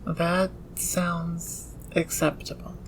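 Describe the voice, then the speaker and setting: An actor in an audio roleplay playing a socially awkward, intense engineer turned thief, speaking in a halting, precise, nerdy way. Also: medium pitch, flat delivery, heavily acted, poor audio. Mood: guarded. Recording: clear background ambience noise.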